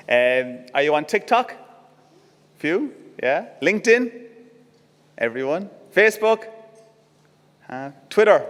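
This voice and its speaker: An Irish man giving a lively conference talk, quizzing the audience with quick questions. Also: medium pitch, long reverb tail. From Ireland. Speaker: conference speaker. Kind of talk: conference presentation. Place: conference venue.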